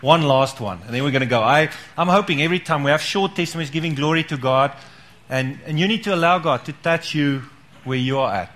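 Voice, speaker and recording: A man's voice: fairly high pitch, fairly quick, a bit bright. A man leading a church gathering, inviting testimonies and encouraging people with repeated words of praise. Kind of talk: casual church meeting talk.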